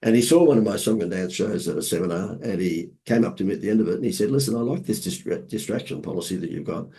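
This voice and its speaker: Hoarse Australian man telling stories. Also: on the low side, fast. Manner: lively anecdotes with playful asides. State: hoarse from laryngitis. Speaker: marketing consultant guest. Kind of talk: casual storytelling interview.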